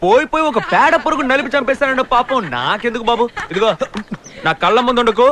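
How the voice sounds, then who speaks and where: An actor playing a swaggering, loud-mouthed, mocking rogue in a comedy film. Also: male voice, very high-pitched, fast talker, crowd noise. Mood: taunting. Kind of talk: Telugu film comedy acting.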